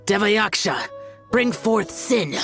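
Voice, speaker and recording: A woman's voice: mid-range voice, lively intonation, a bit bright, soft background music. A woman voicing a dignified, ancient adeptus in a video game, formal and authoritative, with an exacting, aloof manner. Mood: incanting. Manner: formal, authoritative, imperious, measured.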